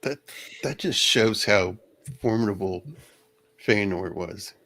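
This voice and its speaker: Man, creaky voice, lowish pitch, casual book chat, halting, repetitive phrasing. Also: a bit bright. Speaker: co-host of a read-along discussion.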